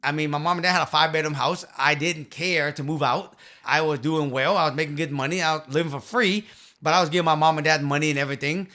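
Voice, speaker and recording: A man in his fifties telling his story to the camera in a small home office, earnest and emphatic, into a boom-arm studio mic. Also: steady tone, fast talker, pushed and bright. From Vietnam. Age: 50s. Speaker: real estate investor and channel host.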